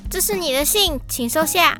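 A woman's voice: very high-pitched, lively intonation, normal speed, sharp tone, soft background music, exaggerated voice. A woman voicing a shy, earnest little puppy boy who delivers mail in a children's audio drama, childlike, eager and sincere. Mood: polite, eager.

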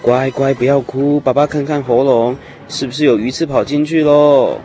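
A man playing a patient, steady father of small children in a radio drama, coaxing and explaining. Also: mid-range voice, normal speed, soft background music, close to an everyday voice. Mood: concerned.

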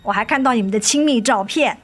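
Weary, resolute wronged wife, higher-pitched. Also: female voice, fast, a bit bright. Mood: controlled, accusing. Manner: firm, reproachful. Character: weary, resolute wife and mother confronting her cheating husband. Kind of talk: Mandarin radio drama acting. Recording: studio radio drama with music underneath.

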